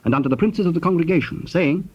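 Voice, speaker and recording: A man's voice: a little high, husky. Male Bible narrator on dull tape. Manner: formal, measured. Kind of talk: scripted scripture narration. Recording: dull, noisy old cassette tape.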